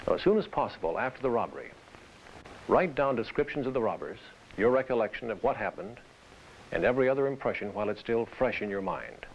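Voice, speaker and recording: A man presenting scripted instruction in a formal, deliberate manner. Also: fairly low pitch. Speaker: training film presenter. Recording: dull hissy vintage training film soundtrack.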